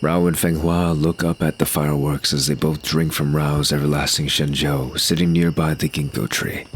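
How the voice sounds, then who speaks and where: A man's voice: extremely low pitch, flat delivery, normal speed, slight background noise. A man reading scripted narration for an audio drama, describing the action as narrator.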